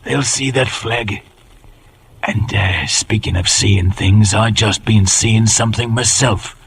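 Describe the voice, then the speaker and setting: An actor playing a wily leader in an old radio drama, gravelly, wheedling and commanding by turns. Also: male voice, lowish voice, slow pace. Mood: coaxing, hushed. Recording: noisy vintage radio broadcast.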